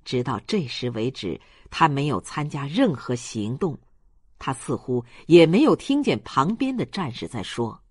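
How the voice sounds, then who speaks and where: Female audiobook narrator, lower register, close dry sound, scripted storytelling. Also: sing-song, a little slow, darkish tone, slightly rough, dry recording.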